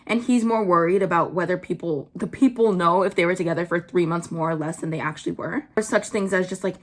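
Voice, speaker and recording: A young woman doing casual commentary, blunt and full of "like" fillers, her pitch jumping up when she gets worked up. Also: fast talker. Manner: blunt, swears, many "like" fillers. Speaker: vlogger.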